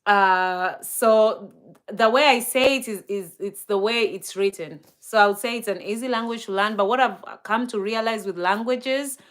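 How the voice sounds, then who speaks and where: A woman's voice: mid-range voice, fairly bright tone. A woman from Kenya with a Kenyan accent, chatting on a live stream, reading out viewer comments, with many fillers and easy laughs; Swahili and Luo are her first languages. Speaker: livestreamer.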